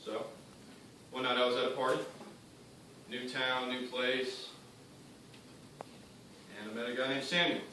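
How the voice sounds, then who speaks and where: A man performing a theatrical monologue as a cynical, bitter young drug dealer, defiant and speaking in clipped phrases with pointed pauses. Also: mid-range voice, level tone, pushed and bright. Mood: matter-of-fact. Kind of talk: theatrical monologue addressed to an audience. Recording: very echoey room, distant mic, faint noise.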